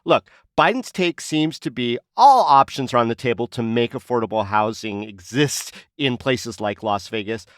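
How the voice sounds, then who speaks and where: A man with a mid-pitched voice co-hosts a news discussion podcast. He is conversational and probing, framing points for the others. The sound is close and dry. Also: expressive, normal speed, few pauses, no room sound. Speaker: podcast co-host.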